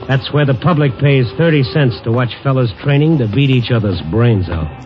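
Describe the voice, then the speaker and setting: A man's voice: medium pitch, normal speed, lightly acted. A man acting a streetwise, world-weary American newspaper reporter with a wry, hard-boiled manner in 1950s radio noir style. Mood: brooding, wry. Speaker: lead actor and first-person narrator. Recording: old muffled radio recording, music behind.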